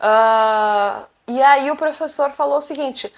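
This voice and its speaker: A young woman with a Brazilian Portuguese accent, chatting to camera as a vlogger and telling stories in a lively, animated way. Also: high voice, slow pace. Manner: animated, chatty, acts out quotes. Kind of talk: casual storytelling to camera.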